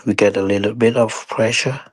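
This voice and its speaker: A man teaching guided meditation in short, unhurried phrases with pauses and non-standard English grammar. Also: lowish voice, steady tone, no echo.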